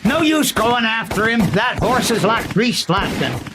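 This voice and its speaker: A man plays a Western cattle boss in a radio play, high-pitched and very animated. He is stern, distrustful and commanding, with an American accent. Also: unhurried, heavy background noise. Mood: stern, determined. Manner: commanding, clipped orders. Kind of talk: old-time Western radio drama acting.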